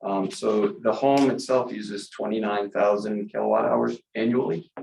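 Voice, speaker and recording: A man's voice: lowish voice, moderate pace, gravelly, darkish tone. A man presenting a solar panel plan at a public meeting, hesitant and full of fillers. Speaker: solar project applicant presenting a design. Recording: echoey room with background noise.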